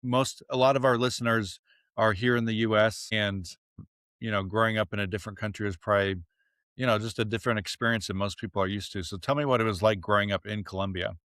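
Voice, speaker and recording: Podcast host, man, lowish voice, relaxed questions, dry close sound. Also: fast, no room sound. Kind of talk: casual interview chat.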